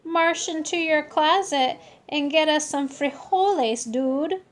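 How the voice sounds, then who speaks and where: A woman's voice: high voice, slow, pitched above the performer's own voice. A woman voicing tiny, boisterous chihuahua space travellers who mix Spanish words into their talk. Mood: teasing. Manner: exclamatory, mixing in Spanish words.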